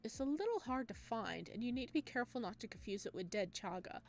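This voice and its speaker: A woman voicing a friendly, courteous man in a visual novel, an eager host with a gently teasing way. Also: a little high, lively intonation, quick pace. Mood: teasing, informative. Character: friendly, teasing man hosting a guest in his cabin.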